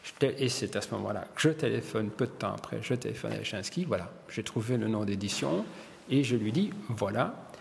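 An older Belgian-born man, a hairdresser and publisher, telling his story in an interview, halting and repeating words as he searches. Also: fairly low pitch, expressive, creaky, big-room sound, a little hiss or hum.

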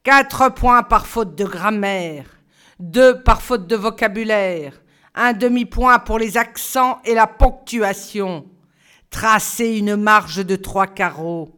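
A woman's voice: mid-range voice, slow pace, pushed and bright, clean tone. A woman voicing a stiff, stern male French teacher who gives cold, clipped orders.

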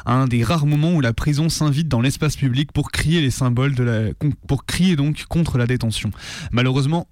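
A man's voice: medium pitch, a little mellow, no echo. Dry-sounding man, militant radio commentary. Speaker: radio show presenter. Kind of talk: scripted political radio commentary.

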